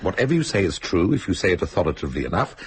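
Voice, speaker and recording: An actor playing a wry, educated husband in an audio drama, with a deep, gravelly voice and a dry, sardonic delivery. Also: male voice, big pitch swings, very fast, slight background noise. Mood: wry, calm.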